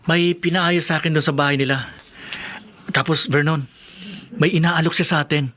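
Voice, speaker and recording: A hopeful young working man with a fairly high voice. He is patient and reassuring, talking persuasively as in a radio drama. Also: steady tone, moderate pace, sharp tone. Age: young adult. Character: patient, hopeful young restaurant worker and friend. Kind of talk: Filipino radio drama acting.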